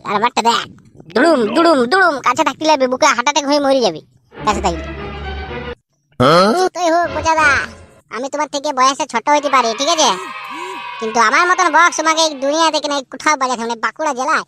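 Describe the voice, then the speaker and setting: A woman puts on a boastful young village DJ guy from a cartoon, speaking in a Purulia Bengali dialect. He talks fast, high and loud, bragging and imitating thumping speakers. Music plays behind him. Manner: loud, bragging, singsong bass noises.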